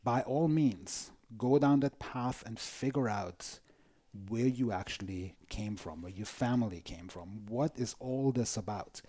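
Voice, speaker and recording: Mellow-voiced Austrian man explaining. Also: mid-range voice, a little slow. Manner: emphatic, explanatory. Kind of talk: conversational discussion.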